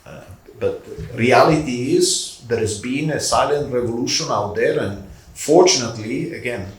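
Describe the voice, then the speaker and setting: A man speaking on a conference panel in a measured, deliberate way with pauses. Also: medium pitch. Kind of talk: panel discussion. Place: conference hall. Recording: echoey conference hall.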